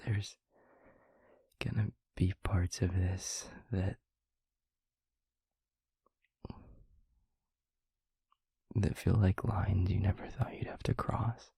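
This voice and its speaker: A man acts out a protective vampire boyfriend in an intimate audio roleplay. His voice is low and very breathy, with gravelly creak at the edges. He speaks softly and reassuringly, leaving long, hesitant pauses. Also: no echo, heavily acted. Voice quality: breathy, husky, half-whispered. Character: gentle, protective young male vampire maker and boyfriend.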